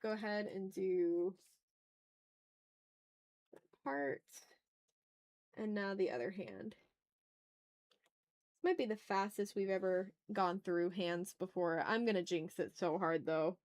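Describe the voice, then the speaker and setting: A woman hosts a casual art livestream in a mid-pitched voice. She talks informally to her chat while she draws. Her speech often turns into thinking aloud and muttering to herself. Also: takes it slowly, pauses often, darkish tone.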